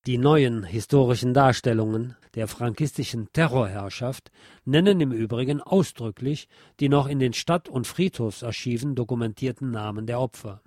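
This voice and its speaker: A man reading a nonfiction text aloud as a podcast host, in a formal, measured, factual manner. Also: medium pitch, a little mellow, no room sound, clean studio sound.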